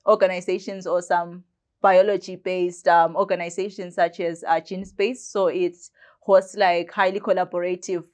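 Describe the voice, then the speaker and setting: Young woman, about 20, lowish voice, chatty guest, filler-heavy. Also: level tone, moderate pace, a little mellow. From Zimbabwe. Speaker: guest, biomedicine student. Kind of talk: casual storytelling in conversation.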